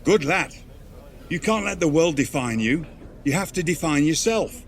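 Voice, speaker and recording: A man's voice: a little high, animated. A man playing a formal, eloquent wrestling authority figure in a video game, an encouraging mentor. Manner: formal, eloquent, deliberate wording. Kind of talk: dramatic video game dialogue. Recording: faint background noise.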